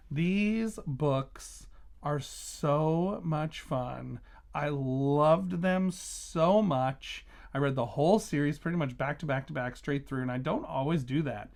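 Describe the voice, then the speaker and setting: A man's voice: on the high side, vocal fry, darkish tone. A man hosting a casual book review vlog, talking conversationally and emphatically, stressing and repeating key words.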